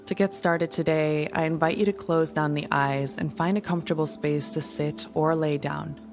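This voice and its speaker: A woman leading a guided meditation in a soothing, gentle, unhurried manner. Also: sits low, fairly even intonation. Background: music.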